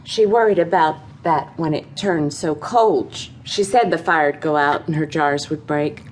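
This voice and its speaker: An actress playing a timid, sympathetic rural woman in an audio drama, with an American accent and a tentative, soft-hearted manner. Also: deep voice, a little slow, slight background noise, low-quality recording. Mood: concerned, sympathetic. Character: timid, sympathetic farm-town woman, gently concerned. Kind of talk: audio theatre acting.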